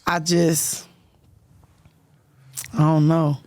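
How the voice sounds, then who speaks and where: A woman's voice: deep voice, level tone, very slow, darkish tone. Laid-back young woman rapper, candid. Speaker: rapper, guest. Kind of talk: casual interview chat.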